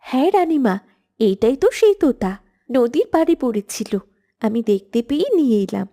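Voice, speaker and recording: High, soft-toned young noblewoman played by a woman. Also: sing-song, moderate pace, full character voice. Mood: earnest. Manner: respectful but firm. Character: earnest, bold young daughter of a minister.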